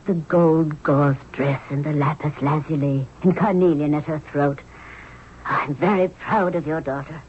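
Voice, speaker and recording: An actress playing a commanding, motherly middle-aged queen of Egypt, brisk and fussing, in a radio drama. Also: sits low, steady tone. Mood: soothing, proud. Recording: dull, noisy old radio broadcast.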